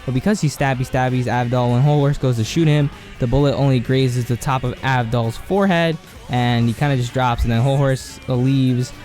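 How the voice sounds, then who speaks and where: A man giving a casual anime commentary, rambling and slangy with lots of filler words, his voice hoarse and cracking. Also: medium pitch, fairly even intonation, fairly quick, a little mellow, soft background music. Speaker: video essay host.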